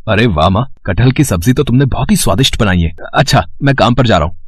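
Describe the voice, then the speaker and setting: An earnest young husband in a cartoon moral tale, acted by a man. He is affectionate and trusting, talking fast with very animated pitch. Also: husky. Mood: cheerful. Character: caring, trusting young husband and dutiful son. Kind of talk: animated moral story dialogue.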